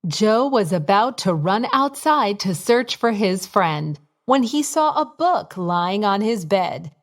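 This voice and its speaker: A woman reading a scripted bedtime story for young children in a simple, expressive storytelling manner. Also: mid-range voice, slow pace.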